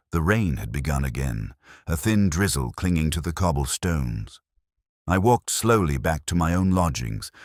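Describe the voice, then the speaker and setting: A man reading a scripted first-person detective story in a measured, literary, brooding manner. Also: very deep voice, no echo, clean studio sound. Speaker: narrator.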